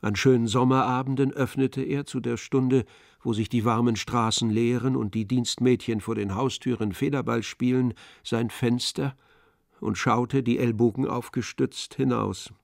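Creaky-voiced male radio-play narrator. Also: medium pitch, fairly even intonation, normal speed, no room sound, clean studio sound.

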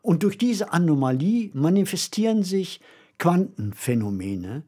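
A man co-hosting a podcast, presenting conversationally in a deliberate, musing and emphatic way. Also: a little high, sing-song, dark tone, breathy edge. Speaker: podcast co-host. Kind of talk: conversational presenting of radio plays.

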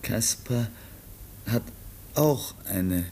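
An actor playing a childlike, bewildered young foundling in a radio play, speaking in halting, broken, simple phrases. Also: male voice, fairly low pitch, animated, gravelly, mellow, a little hiss or hum, full character voice. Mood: dreamy, yearning. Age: young adult. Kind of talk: German radio play acting.

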